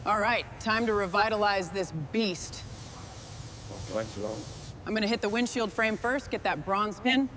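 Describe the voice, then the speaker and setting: A determined, hands-on female truck mechanic played by an actress speaks scripted workshop lines at a medium pitch. Clear noise sits behind her voice. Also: lively intonation, measured pace, long pauses, lightly acted.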